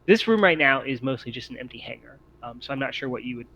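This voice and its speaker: A man working as game master, improvising tabletop game narration with halting, hesitant phrasing. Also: medium pitch, lively intonation, bright, forceful tone. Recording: dull lo-fi audio, faint noise.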